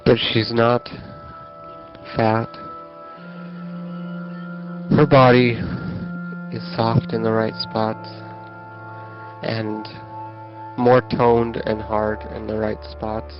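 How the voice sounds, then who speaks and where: A man recording a personal audio diary, speaking haltingly with a whiny voice and long pauses between phrases. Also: lowish voice, level tone. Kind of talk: slow personal audio diary, talking to himself. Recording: dull lo-fi tape with music behind. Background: music.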